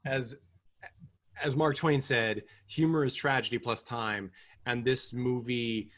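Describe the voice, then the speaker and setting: A man's voice: medium pitch, fairly even intonation, slow pace, fairly bright tone. Wry male podcast host. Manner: wry, sarcastic. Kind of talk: casual film review chat.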